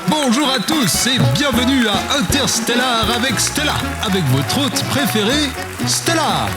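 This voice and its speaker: A showy TV talk-show host for a comic audio drama. The voice is extremely high and swooping, presented over loud music. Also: male voice, very animated, measured pace, full character voice.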